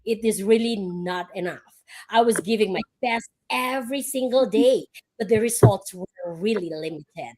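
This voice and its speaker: A woman from the Philippines, a guest on the panel, giving a prepared, inspirational answer in deliberate phrases. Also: medium pitch, a little mellow, poor audio. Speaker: panel guest, early childhood educator.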